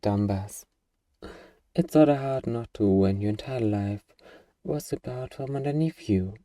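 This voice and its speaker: A man playing a gruff, defensive young zombie-apocalypse survivor with anxiety underneath, in an ASMR roleplay. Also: fairly low pitch, warm tone, dry recording, distant mic, natural voice.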